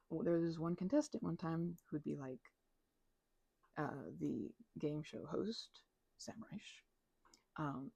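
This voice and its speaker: A young woman with a low, creaky voice rambles casually in ASMR style. She mumbles and hesitates her way along in a relaxed, unhurried tone, close to the mic. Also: no echo. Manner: mumbling, hesitant, drifting storyteller. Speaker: ASMR channel creator.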